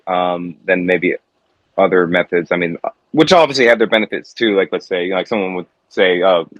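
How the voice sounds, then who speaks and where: A man from the United States, a guest musician, talking in a reflective, halting way with many restarts. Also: on the low side, fairly bright tone, no room sound, lo-fi sound. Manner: reflective, halting with repeated starts. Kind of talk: casual conversation.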